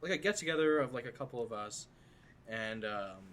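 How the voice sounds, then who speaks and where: A man's voice: medium pitch, expressive, fairly quick, fairly bright tone. A seventeen-year-old man hosting a casual podcast chat, rambling with lots of fillers. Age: teens. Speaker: podcast host.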